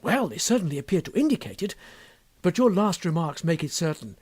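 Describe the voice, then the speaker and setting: A man voicing an earnest, hot-tempered, wealthy young English gentleman in an audiobook story, speaking formally and emphatically. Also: fairly high pitch, sing-song, brisk, slightly rough, dry recording. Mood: earnest, insistent. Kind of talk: audiobook character dialogue.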